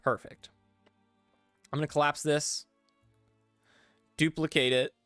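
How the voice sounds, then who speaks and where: Chill young dude streaming, narrating his clicks, dry close mic, soft music in back. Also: fairly high pitch, slow, clean tone, no room sound. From English-speaking. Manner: relaxed, talks through steps as he works, reads chat aloud. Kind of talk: casual live-stream chat while modding.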